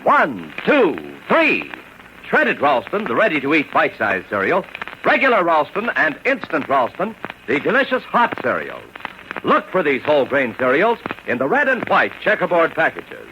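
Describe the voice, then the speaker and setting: Bright, forceful American radio pitchman. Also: wildly swooping pitch, normal speed. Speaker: commercial announcer. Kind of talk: vintage radio advertising pitch. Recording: lo-fi old radio, faint noise.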